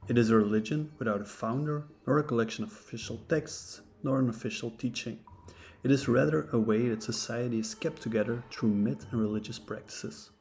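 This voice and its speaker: Gravelly man narrating a philosophy lesson. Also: medium pitch, slight background noise. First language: neither English nor Japanese. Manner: steady, measured reading. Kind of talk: scripted educational narration. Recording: faint guitar music behind.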